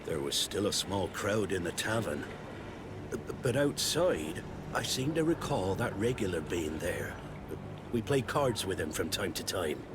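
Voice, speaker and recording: Over noisy background ambience, a fantasy game sailor with a fairly low voice. He is shaken and defensive, telling his side ruefully in old-fashioned turns of phrase. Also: big pitch swings, measured pace. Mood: earnest, wistful. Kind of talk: video game dialogue acting.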